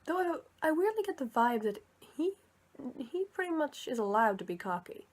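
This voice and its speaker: A woman's voice: high voice, big pitch swings, moderate pace. A young woman giving casual, unscripted commentary in a tentative, musing way, as if thinking aloud. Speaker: vlogger sharing opinions on film characters.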